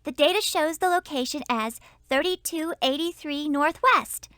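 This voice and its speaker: Piercingly high, perky kid. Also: female voice, normal speed, sharp tone, dry recording, clean studio sound. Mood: confident. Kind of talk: cartoon computer game acting.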